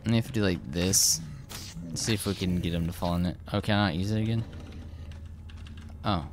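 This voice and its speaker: A young man, slightly hoarse, casually commenting over his gameplay, rambling with lots of fillers and restarts. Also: deep voice, a little fast, background noise. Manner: rambling, hesitant, frequent filler words and restarts. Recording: game gunfire loud behind voice. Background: shooter game sounds and gunfire.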